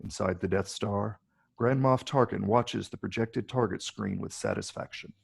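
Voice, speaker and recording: A man narrates a script's stage directions in a low register. His voice has a heavy gravelly fry as he reads out the scripted action. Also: normal speed.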